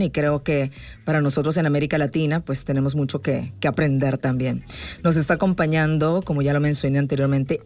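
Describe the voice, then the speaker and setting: A woman's voice: sits low, a little mellow. A woman hosting a conversational podcast interview. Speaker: podcast host.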